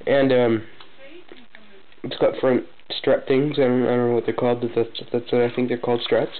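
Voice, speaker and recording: A man's voice: little pitch movement, normal speed. Young guy with a cold, tinny audio. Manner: casual, hesitant, many fillers and restarts. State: has a cold, sniffling. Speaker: hobby builder showing a model. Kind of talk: casual show-and-tell vlog. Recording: tinny phone-like low-fi audio, faint noise.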